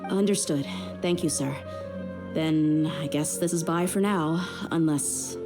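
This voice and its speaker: A woman's voice: deep voice, a little slow, over music. An actress playing a tough young woman mercenary in a video game, blunt and world-weary, with a guarded, hurt edge. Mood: subdued. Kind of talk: dramatic video game dialogue.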